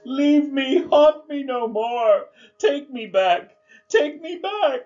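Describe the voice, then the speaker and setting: A man playing an anguished old miser who begs a spirit for mercy in drawn-out cries, in a radio drama. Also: very high voice, expressive, darkish tone, faint music behind, exaggerated voice. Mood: anguished pleading. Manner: pleading, drawn-out outcries. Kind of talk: amateur radio drama acting. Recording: dull lo-fi audio.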